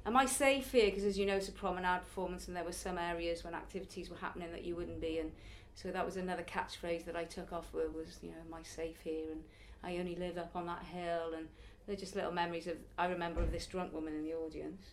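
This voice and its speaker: A woman's voice: fairly low pitch, fairly even intonation, fast talker, few pauses, slight background noise. A woman in her early forties, a circus performer and youth circus teacher, answering interview questions in a chatty, reflective way. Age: early 40s. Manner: chatty, reflective, laughs now and then.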